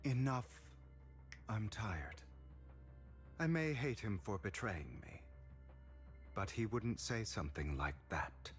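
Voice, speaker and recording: Brooding young noble antihero in a game, bitter, wounded, voice swings a lot, music behind. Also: male voice, fairly low pitch, animated, slow pace, long pauses. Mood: bitter defiance. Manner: dramatic, bitter. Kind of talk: video game dramatic acting. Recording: music under the voice.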